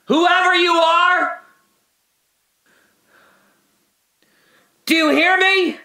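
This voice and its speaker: An actor playing a guilt-ridden trapped man in an audio drama monologue, halting and intense, confessing and pleading. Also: very high-pitched, exaggerated voice. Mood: defiant, anguished. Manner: halting, intense, swinging from hushed confession to anguished cries.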